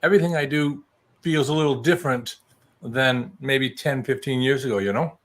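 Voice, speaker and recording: A man's voice: mid-range voice, moderate pace, a bit bright. A man in his early sixties from New Mexico, a guest chatting casually in a folksy, self-deprecating way. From New Mexico, United States. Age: early 60s. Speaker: guest joining a live chat show. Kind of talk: casual chat.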